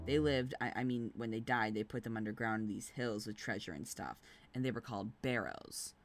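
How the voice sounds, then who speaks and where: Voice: medium pitch, a little fast, natural voice. A streamer voicing a curious but cautious young girl in a storybook reading. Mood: eager. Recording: music playing behind the voice.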